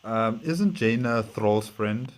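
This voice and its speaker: A man's voice: fairly low pitch, expressive, slow. A man hosting a reaction video, talking casually and hesitantly as he gathers his thoughts off the cuff.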